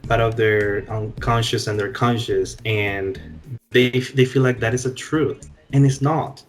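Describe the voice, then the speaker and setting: A man's voice: medium pitch, steady tone, a little slow, faint music behind. Earnest Venezuelan-born man hosting an interview. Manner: earnest, encouraging, with many restarts. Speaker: interview host. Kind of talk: casual interview chat. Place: remote interview over video call. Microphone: video call mic.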